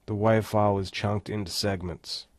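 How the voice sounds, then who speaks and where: Low-voiced man giving a casual tutorial. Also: steady tone, moderate pace. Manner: casual, step-by-step instructing. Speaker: tutorial instructor. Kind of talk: screen-recorded software tutorial, casual walkthrough.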